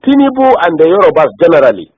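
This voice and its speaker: Fast, insistent northern Nigerian man, husky voice. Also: a little high, big pitch swings. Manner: insistent, holds the floor, repeatedly checks the listener follows. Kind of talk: heated political discussion on a phone call. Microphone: phone call. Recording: echoey, band-limited phone call recording.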